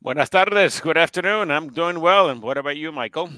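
A man's voice: on the high side, brisk, pushed and bright, dry recording. A man in his sixties from Puerto Rico, a guest broadcaster telling stories in a casual interview, often chuckling and restarting his sentences.

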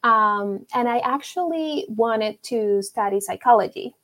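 A woman's voice: fairly high pitch, unhurried, fairly bright tone. Woman therapist telling her story. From Venezuela. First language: Spanish. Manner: reflective, storytelling, with frequent ums. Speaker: therapist guest. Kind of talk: casual interview conversation.

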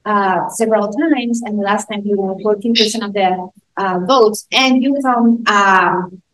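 A woman whose first language is Spanish, hosting an interview podcast in a halting way, with many fillers and restarts. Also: medium pitch, fairly bright tone, low-quality recording. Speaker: podcast host. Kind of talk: casual interview.

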